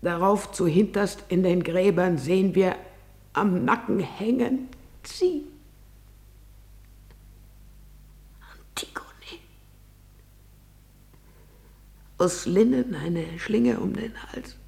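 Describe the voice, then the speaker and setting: An actress playing a distraught maidservant messenger in a tragic verse radio drama, with a solemn, anguished delivery. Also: female voice, lowish voice, creaky, darkish tone. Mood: hushed grief. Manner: solemn, halting delivery swinging into anguished cries. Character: distraught maidservant bringing news of royal deaths.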